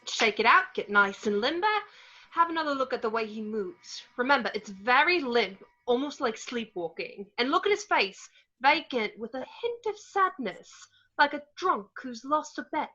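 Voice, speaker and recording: A woman plays a brisk, encouraging coach with a bright, highish voice, like a drama teacher. The recording is slightly dull and lo-fi. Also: animated. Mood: brisk, instructive. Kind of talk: amateur table read of a film script. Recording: dull lo-fi home microphone.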